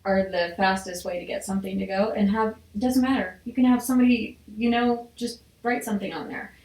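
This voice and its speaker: A woman's voice: medium pitch, steady tone, brisk, a little mellow, far from the mic. An eager woman guest on a podcast, explaining things in loose phrases that trail off. Her voice comes from a little way off the mic, in a roomy space. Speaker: guest, music fan. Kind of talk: casual conversation.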